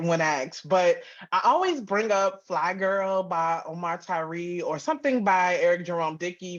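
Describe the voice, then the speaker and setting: A woman's voice: fairly low pitch, dull audio. A woman giving casual, informal interview answers as a writer and producer, often acting out bits of dialogue as she talks. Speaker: interview guest, writer and audio drama producer.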